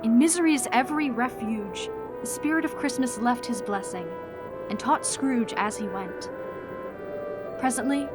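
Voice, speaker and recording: A woman narrating a scripted radio play in a measured storytelling manner. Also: on the high side, natural voice. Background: prominent music.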